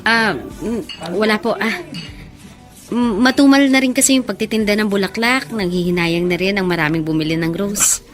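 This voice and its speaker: An actress playing a shy, dutiful nineteen-year-old with a Filipino accent, polite and earnest, in a radio drama. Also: female voice, mid-range voice, normal speed, soft background music, close to an everyday voice. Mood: shy, uneasy.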